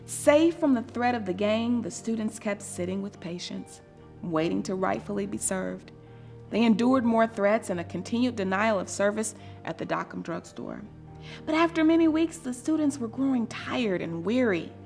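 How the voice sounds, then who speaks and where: A woman reading a children's story aloud in a deliberate, expressive and dramatic storytelling manner. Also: medium pitch, vocal fry, faint music behind. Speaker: storybook reader.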